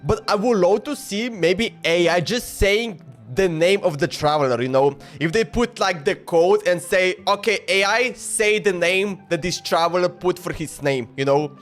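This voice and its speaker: A man's voice: high-pitched, moderate pace, few pauses, fairly bright tone. A man live streaming a game with casual, rambling, informal commentary that often repeats itself. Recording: faint game music behind.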